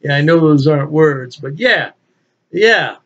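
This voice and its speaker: A man's voice: on the high side, expressive, measured pace, a bit bright, highs cut off. Folksy older man reviewing beer. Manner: chatty, folksy, chuckling to himself. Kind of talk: casual solo video review. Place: home office with beer memorabilia, indoors. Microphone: webcam at desk.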